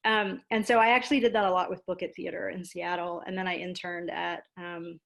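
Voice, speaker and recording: A woman's voice: fairly low pitch, a little fast, gravelly. American woman telling stories casually. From Louisiana, United States. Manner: animated, self-deprecating, acts out quoted exchanges. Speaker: interview guest, theater dramaturg and producer. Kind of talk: casual conversational storytelling.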